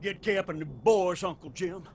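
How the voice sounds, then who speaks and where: Commanding high-voiced Appalachian clan patriarch. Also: male voice, normal speed, darkish tone, a little hiss or hum. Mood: stern, ordering. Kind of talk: radio drama acting.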